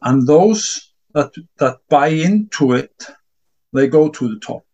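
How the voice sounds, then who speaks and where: A man's voice: a little high, animated, takes it slowly, darkish tone, highs cut off. A middle-aged man from Iceland, a discus coach answering interview questions earnestly in non-native English, often repeating words as he gathers his thoughts. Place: home.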